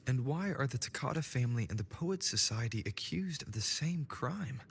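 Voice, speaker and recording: A man's voice: mid-range voice, animated, normal speed, gravelly, slight background noise. A man voicing a young male hero in a video game, thoughtful and inquiring as he ponders clues. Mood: pondering. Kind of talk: game voice acting. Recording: game audio with faint music.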